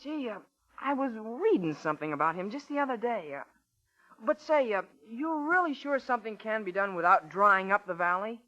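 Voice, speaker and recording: Eager, bright-voiced college boy, old radio. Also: sits high, big pitch swings, moderate pace, heavily acted. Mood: earnest, inquisitive. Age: young adult. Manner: gee-whiz, boyish enthusiasm. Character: eager, earnest young male college student. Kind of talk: 1940s radio drama acting. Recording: muffled old radio broadcast.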